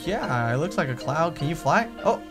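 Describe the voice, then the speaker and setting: A man chatting casually as he plays a roleplay video game, excitable and quick to exclaim or swear. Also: on the high side. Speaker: gaming video host. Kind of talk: casual Minecraft roleplay chat while playing. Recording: music under the voice. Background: background music.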